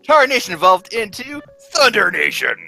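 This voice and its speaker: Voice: medium pitch, big pitch swings, normal speed, vocal fry, bright, forceful tone, soft background music. A young adult joking playfully in casual group chat. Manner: jokey, playful. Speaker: cast member of a script-reading stream.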